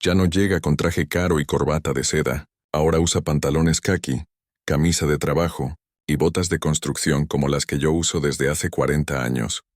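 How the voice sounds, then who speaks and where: A man tells a first-person drama in a slow, stern, measured way. His voice is extremely deep and booming low. The recording is dry and close, with no room echo. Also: steady tone. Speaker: narrator. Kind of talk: first-person story narration.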